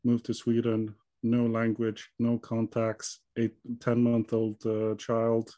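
A man from Iceland in his thirties talks through his story in an even, level tone. He often stops with ums and starts over. His voice sounds soft and mellow, and the sound is dry and close. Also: mid-range voice, slow pace, no room sound. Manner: hesitant, many ums and restarts. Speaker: guest. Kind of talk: conversational interview answers.

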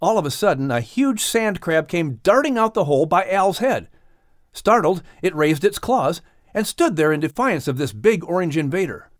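A man narrating a scripted children's story as an audiobook reader, with expressive delivery. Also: high voice, normal speed, no echo, clean studio sound.

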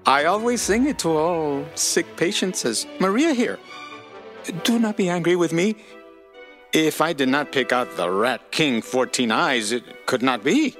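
A whimsical, eccentric godfather, as a man plays him, with a lively voice that swings up and down. He is theatrical and a little mysterious, with soft music under. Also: fairly high pitch, unhurried.

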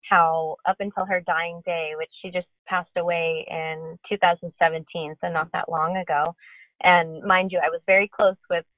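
A woman's voice: deep voice, dry recording. A woman co-hosting a podcast, telling a story in an earnest, emphatic way. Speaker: podcast co-host. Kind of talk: casual conversational podcast. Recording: tinny phone-like line.

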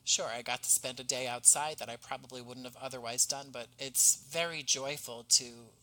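A man's voice: medium pitch, steady tone, normal speed, sharp tone, poor audio. A man chatting casually as a podcast guest, talking as an audio drama creator. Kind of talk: casual chat.

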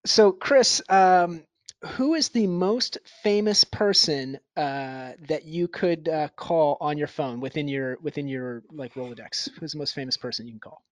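A man's voice: a little high, a little slow, dry recording. A man co-hosting a running podcast, teasing playfully and stumbling over repeated words. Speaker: podcast co-host. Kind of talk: casual podcast chat.

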